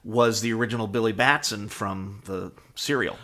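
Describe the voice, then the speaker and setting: A man's voice: fairly low pitch, moderate pace, sharp tone. A man co-hosting a podcast, chatting casually and a little hesitantly as he explains. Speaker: podcast co-host. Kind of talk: casual chat.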